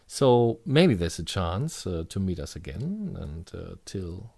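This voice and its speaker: A man speaking casually and hesitantly, with frequent pauses and restarts. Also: deep voice, sing-song, vocal fry, darkish tone, no room sound. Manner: hesitant, halting farewell. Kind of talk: casual online chat.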